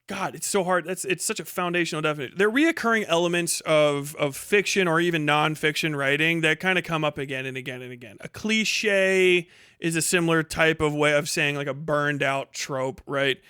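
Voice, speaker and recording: Guy livestreamer ranting casually, higher voice, really dry close sound, swears a lot. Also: moderate pace, pushed and bright, clear, resonant tone, dry recording. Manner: rambling, sweary, emphatic. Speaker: livestream host. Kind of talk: casual opinionated commentary.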